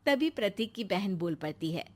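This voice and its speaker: A woman's voice: mid-range voice, expressive, normal speed, darkish tone. A woman storyteller reading a scripted moral tale for children.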